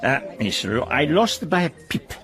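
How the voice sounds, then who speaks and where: An actor playing a theatrical, jovial yet needling Italian gambler in a radio drama, effusive and full of questioning hums, with an Italian accent. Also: male voice, on the high side, sing-song, measured pace, music in the background. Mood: jovial, rueful.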